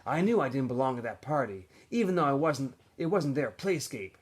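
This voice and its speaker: A man plays a homeless young boy in a novel read-aloud, wistful and guarded. The delivery is earnest and halting, with lively swings in pitch and a husky edge. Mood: sad, recollecting. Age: child.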